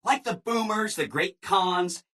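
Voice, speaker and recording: An actor playing a relentlessly cheerful, eager-to-please robot assistant in a video game, with a chipper, ingratiating, singsong delivery. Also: male voice, high-pitched, unhurried, sharp tone. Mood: upbeat, explanatory. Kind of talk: video game character dialogue.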